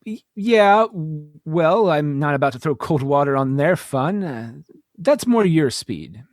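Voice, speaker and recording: A man's voice: on the high side, sing-song, unhurried, no echo, clean studio sound. A man playing an earnest, boyishly romantic starship captain who stammers sheepishly in a comedic sci-fi radio play. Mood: earnest, flustered. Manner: stammering, throat-clearing, sheepish.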